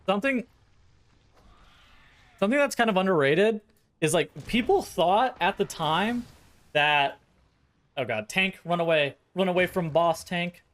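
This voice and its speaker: A man with a fairly high voice talks through game strategy in a casual, blunt way. He swears freely and thinks out loud as he explains. His throat sounds a bit worn. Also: animated, measured pace, long pauses. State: voice tiring, sore throat. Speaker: streamer. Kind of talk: casual gameplay commentary, explaining dungeon strategy.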